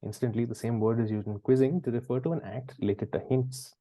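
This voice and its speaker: A man's voice: mid-range voice, fairly even intonation, fast talker, warm tone, no echo. A man hosting a quiz, reading questions and chatting conversationally with the players. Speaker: quiz host.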